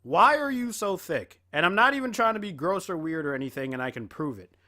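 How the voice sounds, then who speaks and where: A man giving casual reaction commentary in an animated, rambling, exclaiming way. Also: high voice, a little fast. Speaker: reaction video host.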